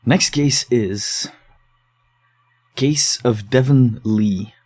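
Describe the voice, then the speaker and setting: An actor playing a dogged, low-key man investigating case files in a horror audio drama, speaking in a subdued, measured way. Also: darkish tone. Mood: formal, methodical. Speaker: investigator digging through case notes.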